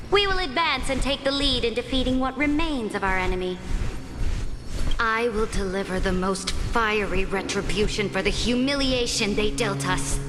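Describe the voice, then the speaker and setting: A proud warlord empress from a fantasy game, speaks in a fairly high, imperious voice. She declaims formally and with calculation, her words carried on long reverb with music clearly behind. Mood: proud, resolute. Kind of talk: dramatic video game voice acting.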